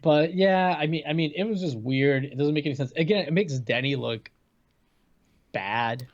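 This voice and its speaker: A man's voice: a little high, normal speed. A man in his thirties co-hosting a movie review show, giving casual, conversational opinions in a hesitant way. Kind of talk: casual chat, film commentary. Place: indoors, video call. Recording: slightly dull, lo-fi sound.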